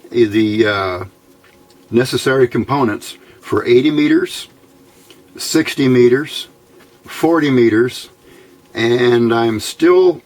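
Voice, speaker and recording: Slow-talking man explaining electronics. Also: medium pitch. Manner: halting, deliberate, frequent throat clearing. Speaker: amateur radio hobbyist presenter. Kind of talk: unscripted technical explanation.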